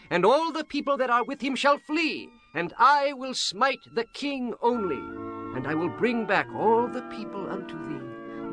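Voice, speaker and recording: An actor playing a scheming, ruthless royal counsellor with deliberate, declamatory delivery in a dramatized scripture audiobook. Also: male voice, high voice.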